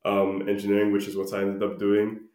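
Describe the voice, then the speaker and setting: Young male podcast guest from Ghana, low voice, informal and laid-back, roomy echoey sound. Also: monotone, fairly quick, a little mellow. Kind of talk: casual conversational interview answers.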